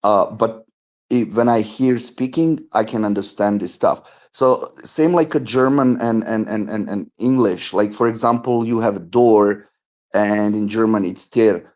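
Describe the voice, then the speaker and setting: Low-voiced man, native Bosnian speaker. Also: darkish tone. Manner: halting, many fillers and repeated words. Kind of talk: casual conversation.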